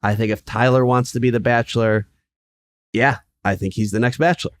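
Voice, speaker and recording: A man's voice: mid-range voice, fairly even intonation, fairly quick, no room sound. Stuffed-up man, easygoing podcast host. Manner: easygoing, hesitant with fillers. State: stuffed up from a sinus infection. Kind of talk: casual podcast chat.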